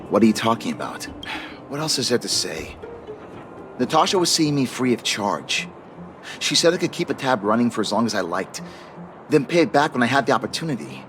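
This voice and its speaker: A slightly low-pitched, glum man as a video game patient. He is a fatalistic hypochondriac, resigned and defensive in his delivery. Music is clearly heard behind him. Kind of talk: video game character acting.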